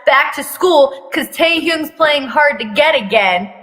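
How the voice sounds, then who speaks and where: Woman reading as a cocky, bright-voiced teenage schoolboy. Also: high voice, lively intonation, takes it slowly, pitched above the performer's own voice, heavily acted. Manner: groaning, swaggering, foul-mouthed. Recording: long echoing room reverb.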